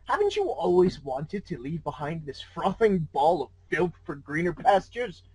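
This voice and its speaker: A man's voice: on the high side, big pitch swings, normal speed, husky, rough recording. A man voicing an eccentric, smug old man with a theatrical, rambling manner in a story read aloud. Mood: giddy, coaxing. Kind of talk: fan fiction read aloud in character.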